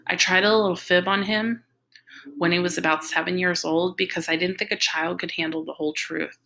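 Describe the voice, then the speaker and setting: Woman reading as gentle, low-voiced worried doctor. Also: steady tone, gravelly, poor audio. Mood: gentle, frank. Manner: consoling, measured, candid.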